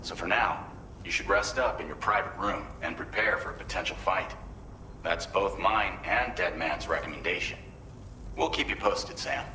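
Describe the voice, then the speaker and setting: An earnest support team member briefing someone in a video game. His voice is low and sharply defined, and his delivery is measured and courteous. It rings in a roomy echo. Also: sharp tone, a little hiss or hum. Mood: earnest, concerned. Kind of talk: game cutscene dialogue.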